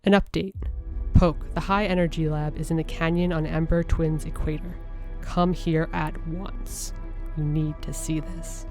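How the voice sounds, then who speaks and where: Earnest, curious alien scientists trade messages about their experiments, as a woman reads them aloud. The voice sits a little low and stays conversational and sincere. Faint music plays underneath. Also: flat delivery, unhurried, a little mellow. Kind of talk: reading in-game alien text aloud.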